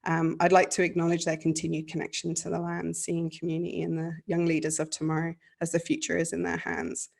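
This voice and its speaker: Gravelly woman, slight Australian accent. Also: on the low side, monotone, a little mellow. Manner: earnest, measured, reads prepared remarks. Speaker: panelist. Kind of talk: formal panel remarks on a video call.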